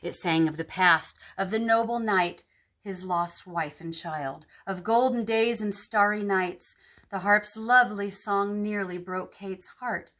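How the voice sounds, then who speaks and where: A woman storyteller reading a picture book aloud to children in an expressive, dramatic and emphatic way. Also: mid-range voice, unhurried.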